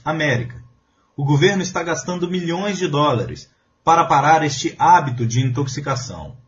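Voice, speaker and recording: A man's voice: fairly high pitch, highs cut off, a little hiss or hum. A man reading a translated spiritual lecture aloud in an earnest, emphatic preaching manner. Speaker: voice-over reader of a translated lecture.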